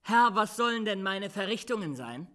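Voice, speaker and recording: A cocky young soldier lad for radio drama. His voice is bright and fairly high, and his delivery swings up and down with pert, boastful wit. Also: sing-song, full character voice. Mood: cheeky, boastful. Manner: pert, quick-witted, boastful. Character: cheeky, bold young soldier lad Simplicius.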